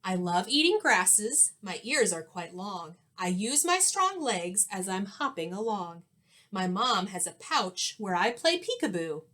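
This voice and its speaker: A woman voicing zoo animals that pose playful rhyming riddles in a children's storybook, in a measured sing-song. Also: medium pitch, very animated, bright, forceful tone. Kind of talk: children's storybook read-aloud.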